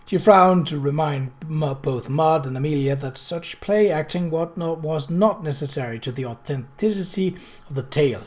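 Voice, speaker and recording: A man's voice: on the high side, normal speed. A man narrating a novel aloud in a scripted storybook style. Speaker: audiobook reader.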